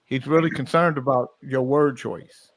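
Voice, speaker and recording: A man's voice: medium pitch, steady tone, a little slow, no room sound. A man hosting a casual talk show, telling a joking story. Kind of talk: casual group chat.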